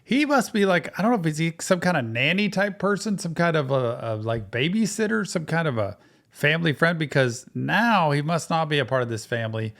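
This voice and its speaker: A man's voice: fairly high pitch, expressive, brisk, creaky, a bit bright. Thoughtful man doing casual reaction commentary. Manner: thoughtful, analytical. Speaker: psychotherapist reacting to a show.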